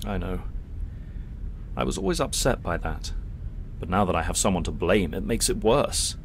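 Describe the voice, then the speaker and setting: Guy playing starship captain, lowish voice, brooding and defiant, sci-fi fan drama, bit of background noise. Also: animated, moderate pace. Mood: brooding, bitter. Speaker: actor in a science-fiction fan drama. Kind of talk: dramatic dialogue.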